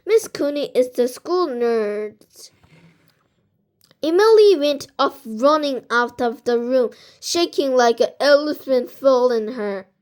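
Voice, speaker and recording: A woman reading a children's storybook aloud in a halting way, stumbling over some words. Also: sits high, lively intonation, a little mellow. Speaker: amateur book reader.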